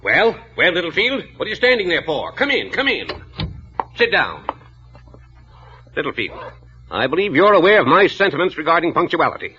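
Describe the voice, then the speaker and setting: An actor playing a stern, pompous, irascible office boss in old radio drama style, curt and scolding, on a muffled vintage broadcast. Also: male voice, a little high, very animated, normal speed, pauses often, sharp tone, slightly rough, faint music behind, heavily acted. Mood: brusque, stern. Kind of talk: 1940s radio drama acting.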